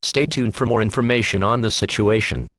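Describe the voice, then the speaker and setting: A man reading scripted emergency alerts and weather bulletins as a radio announcer, in a flat, official, impersonal manner. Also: on the low side, a little fast.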